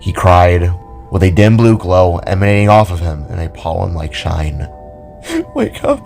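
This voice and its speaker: A man's voice: sits low, fairly even intonation, moderate pace, gravelly, a little mellow, soft background music. A man narrating a scripted audio drama as a dramatic storyteller.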